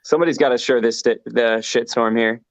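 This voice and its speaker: A man's voice: mid-range voice, fairly even intonation, brisk, no echo. Guy from a band joking around on an online music show, sweary, lo-fi muffled sound, dry. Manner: joking, casually profane. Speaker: band vocalist, interview guest. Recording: dull lo-fi call audio.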